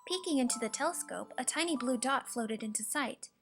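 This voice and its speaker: Female storybook narrator: bright, high-pitched, expressive, measured bedtime-story delivery. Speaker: storybook reader. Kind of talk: scripted children's storybook narration. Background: soft music.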